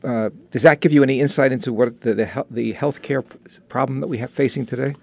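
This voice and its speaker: A man's voice: mid-range voice, steady tone, fast, vocal fry, a little mellow. A man with a New York accent interviewing a guest, chatty and joking, often restarting his questions.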